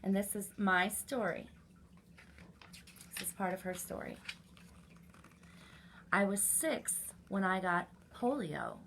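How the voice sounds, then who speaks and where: A woman hosting a children's story time, reading aloud and chatting to young listeners directly, in an animated manner. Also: medium pitch, slow, gravelly, long pauses, slight background noise.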